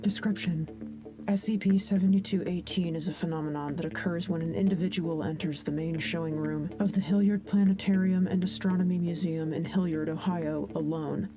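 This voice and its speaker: A woman's voice: lowish voice, steady tone, a little fast, music in the background, natural voice. An actress playing a sober female researcher in an audio drama, formally reading out a clinical case file.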